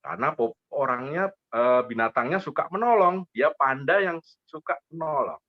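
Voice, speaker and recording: Playful male storyteller, widely swinging pitch. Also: animated, normal speed, no echo, highs cut off. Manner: playful, repeats phrases, asks questions. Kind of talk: interactive children's storytelling.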